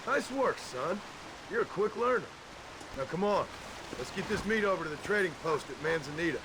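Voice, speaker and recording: An actor playing a middle-aged American rancher father in a video game, gruff and patient, talking in a folksy drawl with dry humor. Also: sits high, animated, moderate pace. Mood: wry, warm. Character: gruff, patient rancher father teaching his son to hunt. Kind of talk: video game dramatic acting. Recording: game dialogue over outdoor animal noise.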